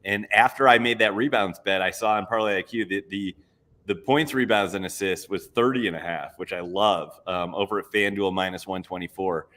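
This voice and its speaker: A man's voice: low voice, normal speed, fairly bright tone. A man co-hosting a casual sports betting show, upbeat and eager as he talks through his picks. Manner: upbeat, enthusiastic. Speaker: co-host.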